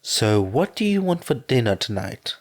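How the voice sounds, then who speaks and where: A man voicing a gentle, weary father who speaks softly and patiently, with a hoarse, sore voice. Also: mid-range voice, very animated, moderate pace. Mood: tender. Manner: soft, tired and patient. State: hoarse, losing his voice.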